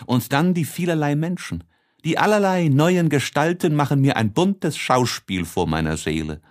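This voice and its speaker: A man reading literary prose as an audiobook narrator, expressively and with deliberate, measured phrasing. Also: on the high side, expressive.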